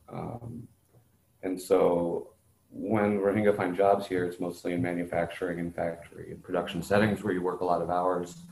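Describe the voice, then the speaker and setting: Deep-voiced man explaining, echoey room. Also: vocal fry, a little mellow. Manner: clarifies and explains for others. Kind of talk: explanatory interview talk. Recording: roomy echo, faint background noise.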